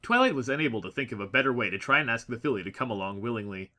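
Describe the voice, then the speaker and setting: A man narrates a story in a medium-pitched voice with a bright, forceful edge. His delivery is scripted and expressive, like a dramatic audiobook. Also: very fast.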